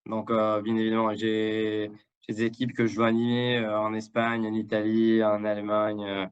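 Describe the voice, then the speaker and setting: A man's voice: on the low side, monotone, normal speed, clean tone, highs cut off. A man from Mexico with a Mexican accent mixed with Québécois, native Spanish speaker, guest in a live interview, talking hesitantly with many fillers and repeated words. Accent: Mexican with Québécois French. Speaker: guest, international development director.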